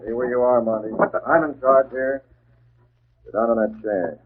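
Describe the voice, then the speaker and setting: Guy playing a masked crimefighter acting like a crime boss, husky, bossy, muffled tinny 40s radio. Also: medium pitch, lightly acted. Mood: menacing, controlled. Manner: commanding, clipped, deliberate. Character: masked male vigilante posing as a menacing crime boss.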